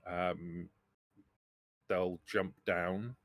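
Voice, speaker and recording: Low-voiced man narrating a tabletop game. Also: level tone, drawn-out words, no room sound. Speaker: game master.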